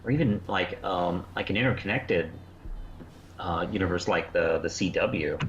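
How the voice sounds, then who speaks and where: Low-voiced man in dull lo-fi audio. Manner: hesitant, halting, thinking aloud. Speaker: podcast co-host. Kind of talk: casual discussion and review. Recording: dull lo-fi sound, faint background noise.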